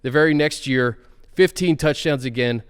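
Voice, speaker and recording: A man's voice: medium pitch, normal speed, clean tone. A man hosting a sports podcast, chatting loosely about a player and putting questions to his co-host. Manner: conversational, asks questions, fillers like uh and um. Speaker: show host. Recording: reverberant room sound.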